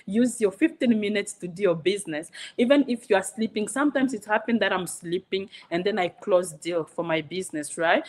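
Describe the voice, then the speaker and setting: A woman from Congo, a guest speaker giving an earnest, persuasive motivational talk with rhetorical questions. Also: medium pitch.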